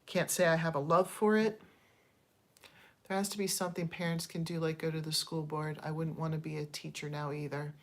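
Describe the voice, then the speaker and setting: Woman, 50s, livestream host; low-ish pitch; candid, rambling, unpolished chat. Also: flat delivery, fairly quick. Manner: chatty and digressive, thanking viewers mid-story; candid, frustrated complaints with frequent ums. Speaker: channel host.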